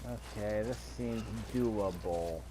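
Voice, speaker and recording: A man's voice: on the low side, takes it slowly, heavy background noise. A man giving casual gameplay commentary, thinking aloud to himself as he plays. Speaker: gamer recording a gameplay video.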